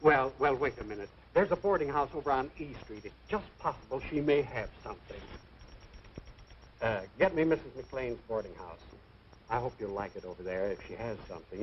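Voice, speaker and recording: An actor playing a fussy, apologetic hotel clerk in an old-time radio comedy. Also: male voice, medium pitch, big pitch swings, fairly quick, natural voice. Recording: dull, noisy old radio recording.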